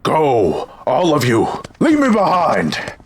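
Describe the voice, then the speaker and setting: A man playing a selfless robot ally in a fan dub, speaking deliberately. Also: medium pitch, animated, fairly bright tone. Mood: urgent. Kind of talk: scripted fan dub acting. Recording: clear background noise with clicks.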